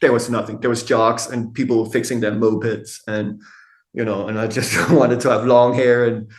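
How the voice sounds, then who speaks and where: A man's voice: medium pitch, level tone, moderate pace. A middle-aged Danish man with a Danish accent, a tattoo artist chatting candidly as a podcast guest, laid-back, swearing casually and laughing often. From Denmark. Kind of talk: casual interview conversation. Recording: echoey room.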